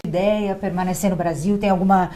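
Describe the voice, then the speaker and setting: A woman's voice: lowish voice, steady tone, brisk, warm tone. Direct Brazilian woman reporter. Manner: direct, formal questions. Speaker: journalist. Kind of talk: interview questions.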